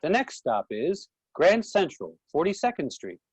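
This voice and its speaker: Subway announcer acted by a stage reader, husky and animated, dry close sound, calling stops. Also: medium pitch, a little slow, dry recording, exaggerated voice. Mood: announcing. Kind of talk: transit announcement in play reading.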